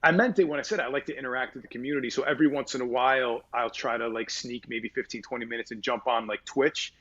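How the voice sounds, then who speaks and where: A man's voice: medium pitch, fast, fairly bright tone, husky, dull audio. A man speaking as a voice actor in a casual interview, with a mid-register voice, rambling through fillers and restarts and swearing casually. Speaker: voice actor guest.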